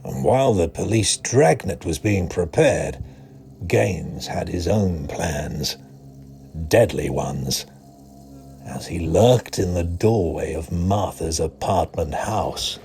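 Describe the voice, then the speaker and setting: An actor playing a grave asylum doctor who narrates a grim tale in a solemn, ominous manner. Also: male voice, sits low, animated, takes it slowly, vocal fry, darkish tone, faint music behind, full character voice. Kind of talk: scripted dramatic narration.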